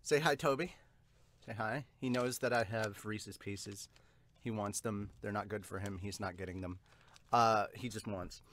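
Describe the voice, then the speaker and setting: A man in his thirties hosting a casual reaction, amused and animated, speaking into a close desktop microphone at home. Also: fairly low pitch, a little slow. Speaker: host of a manga dub and reaction channel. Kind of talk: casual reaction chat mixed with dramatic read-aloud. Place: small room at home with bookshelves and a couch. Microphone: close desktop studio microphone.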